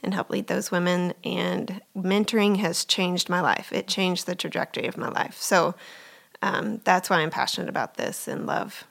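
A woman guest on a podcast talking in a friendly way, often pausing with fillers. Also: lowish voice, steady tone, creaky, a bit bright. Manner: friendly, lots of ums. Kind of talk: podcast conversation.

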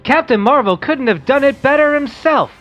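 An excitable young boy hero voiced by a woman talks with lively swings of pitch. He is earnest, eager and polite, in an amateur radio play taken from a comic book. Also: moderate pace, dull audio. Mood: amazed, eager.

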